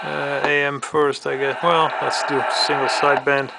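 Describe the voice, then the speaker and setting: A man's voice: fairly high pitch, level tone, moderate pace, gravelly. A man giving a casual, laid-back hands-on demonstration of radio equipment, with understated commentary. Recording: very noisy, radio static behind voice. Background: CB radio hiss and static.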